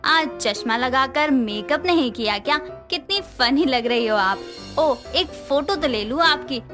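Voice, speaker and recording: A woman's voice: sits high, lively intonation, measured pace. A woman playing a vain, scheming young sister-in-law who teases sarcastically in a cartoon moral story, with music behind her. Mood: mocking. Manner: teasing, sarcastic. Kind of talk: animated Hindi moral story dialogue. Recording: music bed under the voice.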